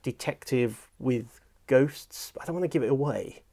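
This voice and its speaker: A man's voice: medium pitch, unhurried, gravelly, warm tone. Jokey English man hosting a chat. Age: middle-aged. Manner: jokey, conversational. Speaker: presenter, audiobook producer and director. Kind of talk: casual chatty interview. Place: small furnished office room, indoors.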